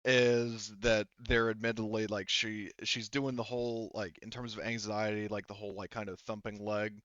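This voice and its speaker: A man narrating a tabletop roleplaying game as game master, talking in a halting, rambling, informal way with some swearing. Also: lowish voice, level tone, fairly bright tone, clear, resonant tone, no echo, lo-fi sound.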